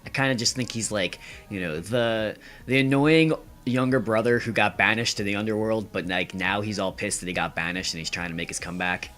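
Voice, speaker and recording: A man's voice: lowish voice, brisk, hardly any pauses, a bit bright, faint music behind. A man answering quiz questions casually as a podcast guest, thinking aloud as he goes.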